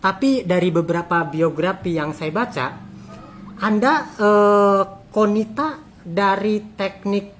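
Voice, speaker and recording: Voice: mid-range voice, slow, a little hiss or hum. An interviewer hosting a conversational chat, often repeating and affirming what guests say. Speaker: interviewer, host.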